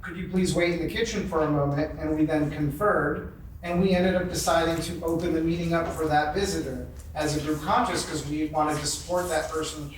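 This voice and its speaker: A man's voice: on the high side, fairly even intonation, a little fast. A man on a panel recounting experiences in spontaneous, conversational talk. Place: convention meeting room. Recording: echoey room from far off, faint noise.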